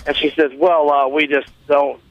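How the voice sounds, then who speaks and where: Higher-voiced man rambling through a funny story. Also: little pitch movement, moderate pace, dull audio. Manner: rambling storyteller, acts out quoted dialogue. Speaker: show guest telling a story. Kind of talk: casual comedic anecdote on talk radio.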